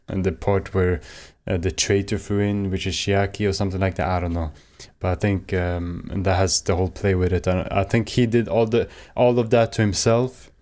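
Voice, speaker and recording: A man from Sweden with a Swedish accent, giving rambling, halting commentary while playing a story game. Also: low voice, a little mellow. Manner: rambling, halting, with many fillers. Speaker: gaming streamer. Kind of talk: casual let's play commentary.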